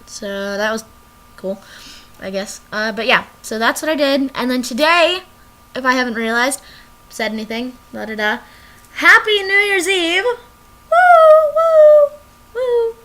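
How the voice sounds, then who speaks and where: A woman's voice: high-pitched, animated, unhurried, pauses often, a bit bright. A young woman vlogging casually from her bedroom, rambling and drifting off topic as she chats to the camera. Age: young adult.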